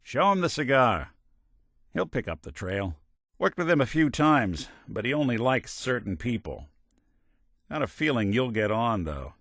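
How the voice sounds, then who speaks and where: An American actor playing a world-weary, gruff but decent synthetic private detective in a noir game, with a dry, sardonic manner. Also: male voice, medium pitch, big pitch swings, unhurried, a bit bright, no room sound, heavily acted. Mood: businesslike. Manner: dry, sardonic, old-time gumshoe slang. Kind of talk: noir hard-boiled detective game dialogue.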